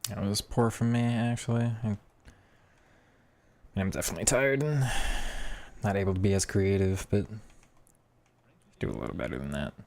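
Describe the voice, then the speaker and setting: A young man with a hoarse voice, chatting casually about football tactics and reacting to his own play while gaming. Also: on the low side, expressive, normal speed, long pauses, a little mellow. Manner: thinking aloud, reacting to play. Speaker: gaming streamer. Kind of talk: casual gameplay commentary.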